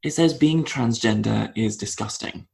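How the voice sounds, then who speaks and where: Gravelly guest, thoughtful and halting. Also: male voice, fairly low pitch, a little mellow. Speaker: podcast guest. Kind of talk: casual interview talk.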